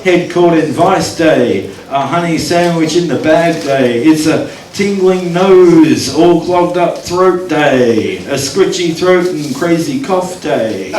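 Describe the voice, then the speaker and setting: A man's voice: a little high, lively intonation, takes it slowly, hardly any pauses, darkish tone. Man reading poetry at an open mic. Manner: wry asides, counts down his time aloud. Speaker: poet performing. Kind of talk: live spoken-word poetry reading. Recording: echoey room, distant mic, audience.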